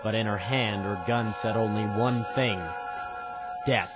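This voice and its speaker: A man voicing a brooding hardboiled noir private-eye narrator with a slow, deliberate, dramatic delivery. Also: on the low side, fairly even intonation, loud music behind the voice, voice pushed down, natural voice. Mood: brooding, ominous. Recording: dull lo-fi radio sound.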